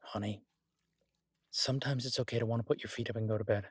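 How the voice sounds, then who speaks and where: A devoted husband and cook , acted by a man, speaks in a lowish, slightly husky voice, close and dry on the mic. He is easygoing and gently teasing. His delivery is casual, halting and reassuring, as in a one-sided audio roleplay for a loved one. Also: steady tone, no room sound. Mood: tender, reassuring.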